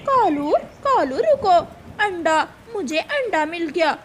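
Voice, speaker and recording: Cartoon crow, played by a woman: high, eager, greedy egg thief. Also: slow pace, slight background noise, heavily acted. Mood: greedy excitement. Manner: excited, eager. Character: greedy female crow craving a stolen egg. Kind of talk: Urdu cartoon voice acting.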